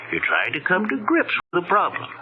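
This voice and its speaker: An actor playing an earnest, avuncular doctor in an old radio drama, coaxing and reassuring. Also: male voice, high-pitched, wildly swooping pitch, brisk, slight background noise. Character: male doctor, earnest, reassuring psychological counsellor. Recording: tinny, narrow old radio recording.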